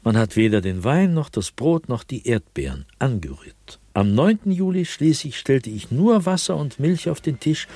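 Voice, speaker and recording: An actor playing a tormented man sliding into madness, recounting his nightmares as a diary in a radio drama, in a brooding, halting manner that swings between hushed and agitated. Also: on the low side. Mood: tense, feverish. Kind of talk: dramatic radio play monologue.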